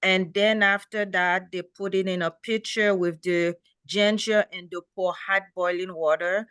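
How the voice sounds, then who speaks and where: A middle-aged woman with a Haitian accent speaks in a bright, forward voice with a dry, close sound. She explains in a plain, anecdotal way as a doctor on a panel, repeating key points. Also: on the low side, steady tone, measured pace, clear, resonant tone, no room sound. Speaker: podiatrist, panel guest. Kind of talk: explaining remedies on a medical panel. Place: online webinar call.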